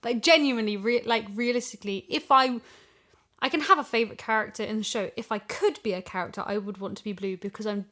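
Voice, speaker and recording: Chatty woman in casual conversation. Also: medium pitch. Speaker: voice actor and podcast guest. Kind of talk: casual chat.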